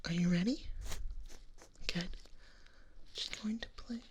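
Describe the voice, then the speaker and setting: A woman acts out a gentle female dragon nurse in an ASMR roleplay. She whispers softly and very slowly, calmly reassuring her patient like a kind clinician. There is some noise behind the voice. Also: on the low side. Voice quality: soft, breathy whisper. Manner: slow, soothing, reassuring clinical bedside talk.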